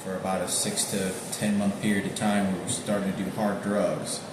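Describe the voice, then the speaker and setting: Guy with a lowish voice thinking out loud about old memories, stops and repeats himself, super echoey room. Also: flat delivery. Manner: halting, repetitive, searching for names. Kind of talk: rambling personal monologue recalling memories. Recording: very echoey room with noticeable background noise.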